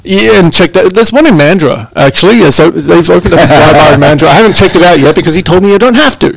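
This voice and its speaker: Playful man, a bit high. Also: racing, vocal fry, no echo. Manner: playful, expressive, theatrical. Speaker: radio co-host. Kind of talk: casual radio chat.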